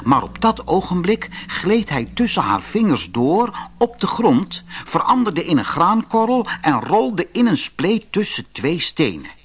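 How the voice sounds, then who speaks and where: A man narrating a scripted fairy tale audiobook in an expressive, dramatic storytelling manner. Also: high-pitched, measured pace, breathy edge.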